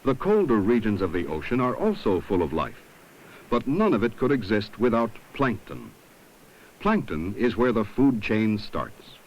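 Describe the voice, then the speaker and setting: A man reading scripted 1970s documentary narration in a measured, instructive way, on an old film soundtrack. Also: on the low side, big pitch swings, slight background noise, poor audio.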